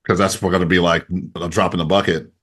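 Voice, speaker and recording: A man's voice: low-pitched, little pitch movement, a little fast, a bit bright. A man in his forties hosting an interview in casual, slangy, informal chat. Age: 40s. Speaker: interview host.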